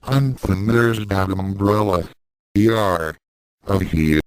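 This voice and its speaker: Storybook young woman read by a man, low register, slow pace, dry sound. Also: smooth, dry recording, low-quality recording. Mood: worried. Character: worried young woman, morning-person sister. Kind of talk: storybook dialogue read aloud.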